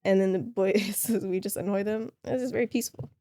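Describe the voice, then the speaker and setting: Soft-spoken young woman guest, dry mic. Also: medium pitch, steady tone, brisk, dry recording. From Somalia. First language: Somali. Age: young adult. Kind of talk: casual podcast conversation.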